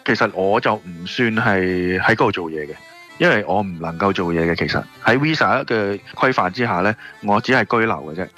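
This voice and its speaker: Man, Hong Kong Cantonese accent, casual explanatory chat, crisp bright tone, background music. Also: fairly low pitch, fairly quick. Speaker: radio interview guest, shop owner. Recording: music playing behind the voice.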